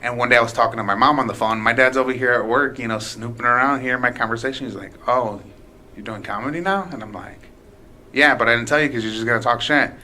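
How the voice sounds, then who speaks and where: Bright-voiced rambling guy in his late twenties. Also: mid-range voice, fast talker, slight background noise. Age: late 20s. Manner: rambling, profane, many restarts. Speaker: podcast guest, aspiring comedian. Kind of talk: casual storytelling chat.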